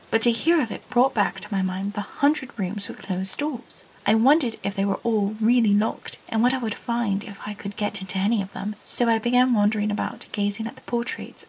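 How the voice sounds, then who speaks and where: A woman voices a curious, lonely girl narrating an audio drama in a husky, breathy hush. A gravelly rasp sits low in her voice. She keeps it close and confiding, like a secret. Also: rough recording. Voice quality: breathy, hushed storytelling. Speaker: narrator and lead character.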